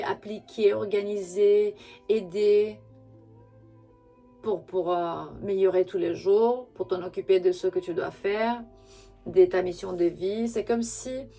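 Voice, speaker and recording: A woman who is not a native French speaker gives an intuitive tarot reading straight to the listener, halting as she searches for words. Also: medium pitch, warm tone. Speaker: spiritual tarot reader and channel host.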